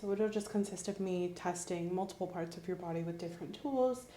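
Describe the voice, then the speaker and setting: A woman giving calm, slow, clinical instructions as a doctor in an ASMR roleplay, sometimes dropping into a whisper. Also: lowish voice, a little hiss or hum. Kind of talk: soft-spoken ASMR medical roleplay. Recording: roomy echo.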